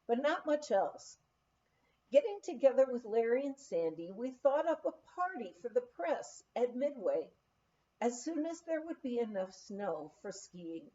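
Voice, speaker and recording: Higher-pitched, mellow woman reading a memoir aloud. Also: dull audio. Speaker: audiobook reader. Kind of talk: scripted memoir narration, read aloud.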